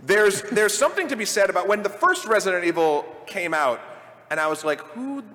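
A man speaking informally and emphatically as a panelist in an animated live discussion about games and films. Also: sits high, brisk. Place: large indoor convention panel room. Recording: echoey large hall, live panel sound.

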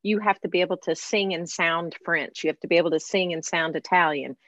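A woman who is a classically trained singer, hosting an interview in animated, chatty conversation with frequent laughs. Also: on the low side, fairly quick, no echo, muffled recording. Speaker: podcast host, professional classical singer. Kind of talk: casual interview chat.

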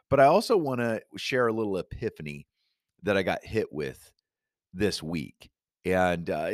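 A man's voice: low voice, no echo, studio quality. A man hosting his own podcast, talking with upbeat encouragement straight to the listener while fighting a cold that leaves his voice a little scratchy. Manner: enthusiastic, encouraging, addresses listener directly. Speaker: podcast host and coach. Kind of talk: upbeat solo podcast talk. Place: his studio.

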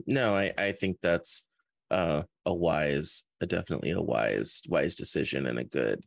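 A man's voice: sits low, lively intonation, measured pace, creaky, no room sound, clean studio sound. A man chatting casually as a podcast interview host, often repeating and rephrasing his words as he feels out a thought. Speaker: interview host and fiction author.

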